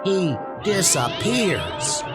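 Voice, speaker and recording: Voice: medium pitch, wildly swooping pitch, very slow, heavily acted. An actor playing a solemn, distressed inhabitant of a doomed city in a dramatized comic book audio drama. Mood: grave dismay. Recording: loud music under the voice.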